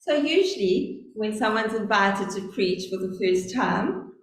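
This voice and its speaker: A middle-aged woman with a South African accent preaching a sermon, telling stories slowly and earnestly. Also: mid-range voice, lively intonation. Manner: earnest, storytelling, speaks slowly on purpose. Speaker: lay preacher. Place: church. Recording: very echoey church, faint hum.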